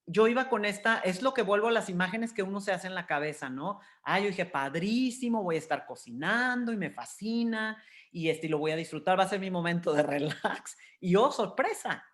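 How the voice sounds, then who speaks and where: A woman's voice: mid-range voice, expressive, moderate pace. A woman in her fifties with a Mexican accent, telling personal stories candidly and expressively as an interview guest. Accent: Mexican Spanish. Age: 50s. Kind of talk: candid personal storytelling in conversation.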